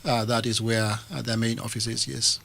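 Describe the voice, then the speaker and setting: A man from Kenya with a Kenyan accent, a guest on a radio interview, speaking with many pauses and fillers. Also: mid-range voice, level tone, creaky, pushed and bright, husky. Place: radio studio.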